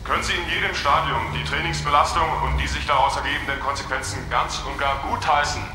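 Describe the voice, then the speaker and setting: An actor playing a persistent, probing press reporter in a radio drama. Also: male voice, a little high, steady tone, fast, natural voice. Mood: probing, insistent. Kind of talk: German radio drama acting. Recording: very echoey hall, music behind.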